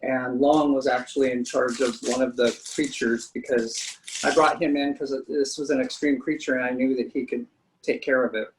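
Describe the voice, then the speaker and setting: A man's voice: mid-range voice, flat delivery, moderate pace, slight background noise, rough recording. Chatty special effects artist, man. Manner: chatty, enthusiastic storytelling. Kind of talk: casual interview answers.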